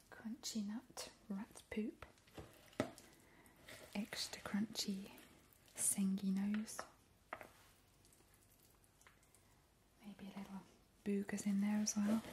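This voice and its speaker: A woman playing a playful, ghoulish vampire in a whispered ASMR roleplay, breathy and slow, with soothing relish. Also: mid-range voice, natural voice. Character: playful, ghoulish immortal female vampire baking gruesome treats. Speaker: ASMR host in character. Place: home kitchen, indoors. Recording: noticeable background hiss, soft clicks.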